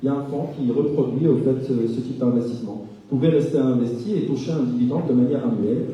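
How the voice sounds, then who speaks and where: A man giving a conference presentation at a savings fair, explaining in a measured way with frequent hesitations. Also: medium pitch, recorded from a distance. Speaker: asset management speaker. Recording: echoey, dull hall recording from afar.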